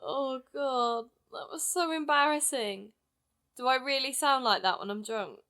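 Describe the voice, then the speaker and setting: A woman's voice: sits high, unhurried, pushed and bright, heavily acted. A woman playing a young amateur-detective podcaster in an audio drama, speaking in a natural conversational way. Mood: embarrassed, casual.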